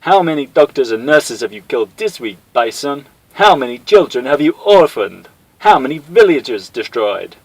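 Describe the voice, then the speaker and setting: A man's voice: mid-range voice, sing-song, normal speed, creaky, hardly any pauses, no echo, poor audio. A man voicing a brash army colonel who barks orders and taunts his enemy. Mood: taunting. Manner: barking, taunting.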